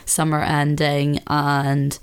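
A woman in her twenties from the UK, hosting a podcast as a casual chat from her bed, with a slightly husky voice and lots of filler words. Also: sits low, monotone, slow pace. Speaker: podcast host. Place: bedroom, sitting on bed.

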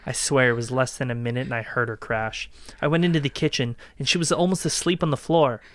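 A man acting a cold, gloating killer who calmly taunts his ex in a dark audio drama. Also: medium pitch, no room sound, lightly acted. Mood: gloating, casual. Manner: casual, calmly taunting, unhurried menace.

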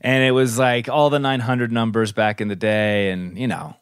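A man's voice: mid-range voice, fairly even intonation, normal speed, clear, resonant tone, dry recording. Guy cohosting a podcast, teasing and laughing, mid voice, dry close mic. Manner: teasing, playful, laughs often. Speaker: podcast co-host. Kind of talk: casual chat.

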